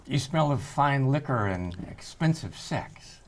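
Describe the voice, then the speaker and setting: Man playing a slow, creepy old doctor. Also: medium pitch, lively intonation, a little hiss or hum. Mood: creepy, leering. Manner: slow, deliberate, savoring speech. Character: creepy gaunt doctor in his late sixties, slow and leering. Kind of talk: screenplay table read.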